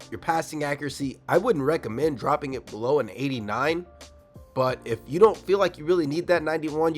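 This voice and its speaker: A young man with a slightly raspy voice from a cold, casually breaking down a video game build for his viewers. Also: fairly high pitch. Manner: fast, casual, addresses viewers directly. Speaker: gaming YouTuber. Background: faint background music.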